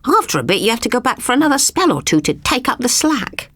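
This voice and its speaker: Woman acting a husky, bossy storybook queen. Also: on the high side, big pitch swings, fast talker, fairly bright tone, voice pushed up, full character voice. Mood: indignant screech. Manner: tart, colloquial, fussing. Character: sharp, bossy, exasperated storybook queen, nagging wife.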